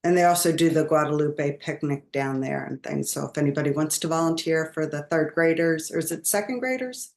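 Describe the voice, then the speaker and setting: A woman's voice: low-pitched, a little fast, creaky, hardly any pauses, darkish tone. A mature woman talking casually on a group video call. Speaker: long-time volunteer mentor. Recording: echoey room over video call.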